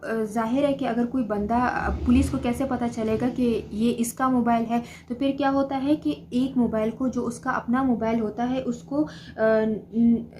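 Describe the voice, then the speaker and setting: A woman giving an explanatory study lesson as a lecturer, reading key phrases slowly and then explaining them plainly. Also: fairly high pitch, darkish tone, a little hiss or hum. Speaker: law lecturer. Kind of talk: explanatory study lesson mixing read-out English notes with commentary.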